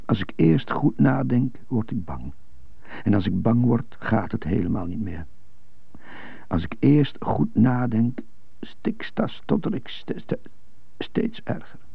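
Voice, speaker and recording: An actor playing a bitter, tormented man who stutters, brooding in a halting radio drama monologue. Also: mid-range voice, sing-song, exaggerated voice. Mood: anxious, stuttering. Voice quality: stuttering, husky. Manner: brooding, halting, resentful muttering. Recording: muffled, roomy, narrow-band old radio recording.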